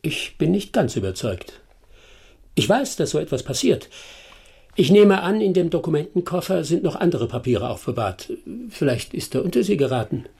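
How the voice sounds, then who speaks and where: An actor playing a self-assured, enigmatic detective with a measured, dry manner in a German radio drama. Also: male voice, on the high side, sing-song, gravelly, warm tone, breathy edge, heavily acted. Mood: dryly teasing.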